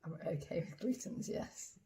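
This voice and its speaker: A woman's voice: normal speed, dark tone. A woman playing a dinner guest in a comedy sketch, answering in a patient, matter-of-fact way. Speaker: puppeteer acting a dinner guest.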